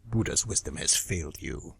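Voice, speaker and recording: Man putting on a low, gravelly demon villain. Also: lively intonation, normal speed, no echo, clean studio sound, exaggerated voice. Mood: scornful menace. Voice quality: gravelly, husky. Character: menacing, taunting demon creature, cold and scornful. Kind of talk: audio drama villain.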